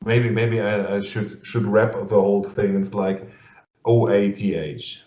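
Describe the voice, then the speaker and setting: Man with german accent, slow halting talk, lowish voice, muffled echoey video call. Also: level tone, warm tone. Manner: halting, many fillers and restarts, laughs often. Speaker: interviewer. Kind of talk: casual video-call interview. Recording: muffled lo-fi sound in an echoey room.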